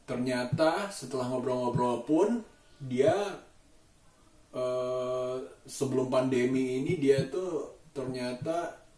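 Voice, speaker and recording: A man speaks casually, as in a daily vlog. His delivery is slow and halting, often pausing to find the next word. The sound is echoey and picked up at some distance from the mic. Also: medium pitch, dark tone. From Indonesia. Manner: halting, thoughtful, searching for words. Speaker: vlogger. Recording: roomy echo, distant mic.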